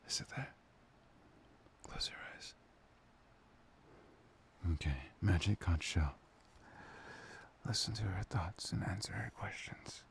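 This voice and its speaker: A man playing a tender, playful boyfriend in an intimate ASMR roleplay, breathy and often slipping into a whisper. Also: fairly low pitch, exaggerated voice. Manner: hesitant, intimate, soft-spoken. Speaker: ASMR voice performer.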